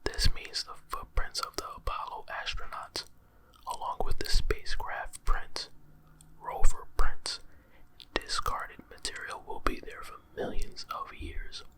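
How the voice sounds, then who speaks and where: A man's voice: extremely high pitch, a little slow. A man whispering space facts for an ASMR video, slangy and playful, reacting with amazement and repeating words. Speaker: ASMR creator. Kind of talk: whispered ASMR fact telling.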